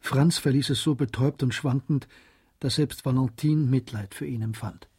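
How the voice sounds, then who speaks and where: An actor as the composed storyteller of a German radio drama, narrating a classic novel in a husky voice. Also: male voice, medium pitch, moderate pace, warm tone.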